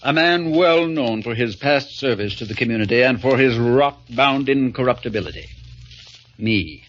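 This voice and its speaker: An actor playing a pompous, scheming veteran small-town politician in an old-time radio drama, speaking in oily, formal, grandiloquent phrases. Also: male voice, medium pitch, moderate pace, a bit bright, a little hiss or hum, close to an everyday voice. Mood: smug, persuasive. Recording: dull lo-fi old radio recording.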